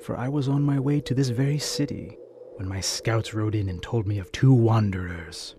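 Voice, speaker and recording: A man playing a coldly courteous, gloating bandit chief in an audio drama, with florid, formal and measured speech. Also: fairly low pitch, noisy background, close to an everyday voice. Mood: proud, assured. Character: gloating bandit chief, scarred former slave trader, coldly courteous villain.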